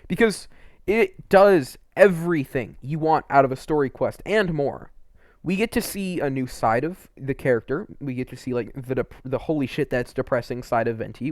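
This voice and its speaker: A man's voice: a little high, no echo. Casual rambling young-sounding man, close dry sound. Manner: rambling, frequent "like" and mild swearing. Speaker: video creator reviewing game quests. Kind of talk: casual opinionated commentary, unscripted.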